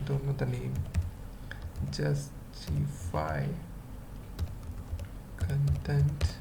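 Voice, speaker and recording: A tutorial presenter thinking aloud in halting, murmured fragments during an informal coding screencast. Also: medium pitch, very animated, vocal fry, noisy background. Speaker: web development tutorial presenter.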